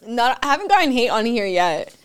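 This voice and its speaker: A woman's voice: fairly high pitch, very animated, fairly quick, clear, resonant tone. A young woman hosting a podcast, chatting casually in English with Spanish phrases mixed in and laughing often. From United States. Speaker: podcast host. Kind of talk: casual interview chat.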